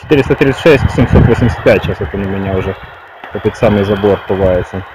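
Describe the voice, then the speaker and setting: A man's voice: on the low side, fast. Man on a noisy radio recording. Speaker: amateur radio operator. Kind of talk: radio calls with phonetic alphabet and muttered commentary. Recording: noisy radio static with clicks.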